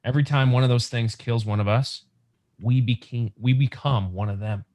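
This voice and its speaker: A man's voice: medium pitch, fairly even intonation, moderate pace, a little mellow, natural voice. A man acting a young, practical, reassuring scavenger survivor in an urgent, conversational comic book table read over a video call. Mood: grave, sympathetic.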